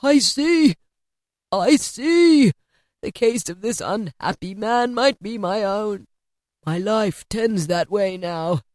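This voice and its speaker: A frightened old miser, played by a woman narrator, shaken and pleading in storybook style. The voice is urgent and trembling, swinging widely in pitch, with a very dry sound. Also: lively intonation, slow, darkish tone, no room sound. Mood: horrified, pleading. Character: horror-struck, shaken old male miser pleading with a spirit. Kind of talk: storybook character acting.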